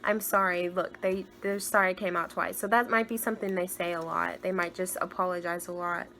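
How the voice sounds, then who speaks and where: A young woman with a higher-pitched voice doing a casual tarot card reading, chatty and gushing, sometimes dropping to soft murmured asides. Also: flat delivery, brisk, gravelly, a little hiss or hum. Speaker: tarot reader.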